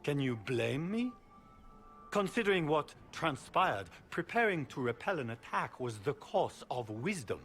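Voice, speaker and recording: An actor playing a proud, scheming duke in a fantasy game, haughty and sardonic in delivery. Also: male voice, fairly high pitch, very animated, a little slow, fairly bright tone, soft background music. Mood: defiant. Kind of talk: theatrical fantasy game acting.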